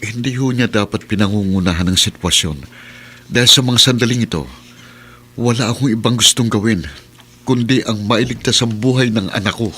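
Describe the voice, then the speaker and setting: A man's voice: on the low side, normal speed, a bit bright, a little hiss or hum, close to an everyday voice. A man playing an earnest, distressed father in a Filipino radio drama, intense and emotional. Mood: determined, anguished.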